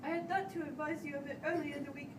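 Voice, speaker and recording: An anxious Puritan man of the church played by a woman, high in pitch, stammering and pleading with his superiors. The amateur drama is heard from far off over clear background noise. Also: fairly even intonation, quick pace, lightly acted. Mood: nervous, hesitant. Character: anxious, distraught Puritan minister, a grown man. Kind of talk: amateur stage-play acting. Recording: echoey room, far mic, hissy noise.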